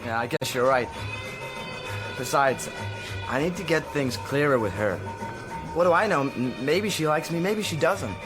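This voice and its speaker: A man's voice: mid-range voice, animated, moderate pace, pauses often, music in the background, lightly acted. An actor playing a naive, lovesick sixteen-year-old boy in a radio drama, speaking hesitantly and earnestly. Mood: unsure, lovestruck.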